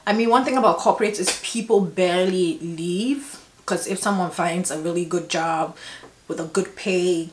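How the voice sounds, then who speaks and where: A woman's voice: lowish voice, reverberant. A woman in her twenties with a Ghanaian accent, chatting emphatically and laughing often while doing her makeup on camera. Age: 20s. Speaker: beauty vlogger. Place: small indoor room.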